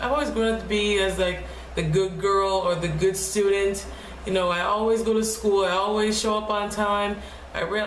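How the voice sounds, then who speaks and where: A young woman speaking quietly in a low, deep voice, talking haltingly and restarting her words often in a casual personal vlog at home. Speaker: vlogger. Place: home room. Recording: echoey room with faint noise.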